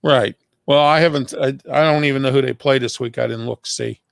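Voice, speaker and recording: A man's voice: mid-range voice, steady tone, fairly quick, creaky, no echo, studio quality. A man on a sports talk panel, chatting casually and offhandedly.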